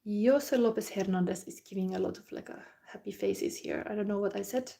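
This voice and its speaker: A woman with a Finnish accent, a non-native English speaker, giving a calm, unhurried psychic reading and chatting loosely with viewers, using many fillers. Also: mid-range voice, gravelly. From Finland. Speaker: psychic card reader. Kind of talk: live psychic reading and comment chat.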